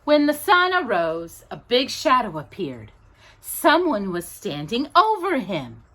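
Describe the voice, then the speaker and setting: A woman reading a children's storybook aloud as a storytime host, in an expressive, drawn-out, playful manner. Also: on the high side. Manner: animated, drawn-out delivery with playful asides to a child.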